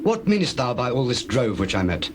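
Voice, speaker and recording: A generous, blunt elder brother from Bible times as a man plays him talks plainly in archaic scripture style. The middle-pitched voice is highly inflected, over a noisy backdrop of birds and cooing doves. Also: very animated, a little fast, natural voice. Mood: blunt questioning. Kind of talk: dramatized scripture reading.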